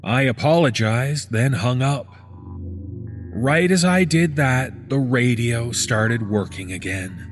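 A man reading a scripted first-person horror story in a measured, deliberate storytelling manner. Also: medium pitch. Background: background music.

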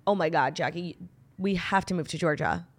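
A woman's voice: fairly low pitch, moderate pace. A woman, co-hosting a casual chat in a bubbly, gushing, playful way. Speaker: podcast co-host.

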